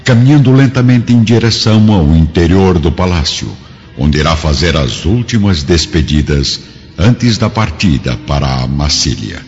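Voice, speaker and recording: A man's voice: sits low, lively intonation, normal speed, a little mellow, husky. A man narrating a radio drama from a script in a dramatic storytelling style. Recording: dull lo-fi audio with music behind.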